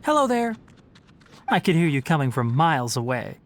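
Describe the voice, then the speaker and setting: A young male forest ranger with fox ears, speaking in a lowish register for a female voice with very animated pitch. He is articulate and brisk, dryly fussy but caring, like a knowledgeable video game companion. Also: a little hiss or hum. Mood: friendly, informative. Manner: articulate, matter-of-fact, dryly scolding.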